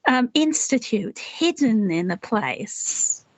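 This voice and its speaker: A woman's voice: a little high, very animated, takes it slowly, no echo, low-quality recording. A middle-aged woman from England with an English accent, an author and puzzle writer answering interview questions in a chatty, rambling way. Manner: chatty, rambling with restarts and small laughs.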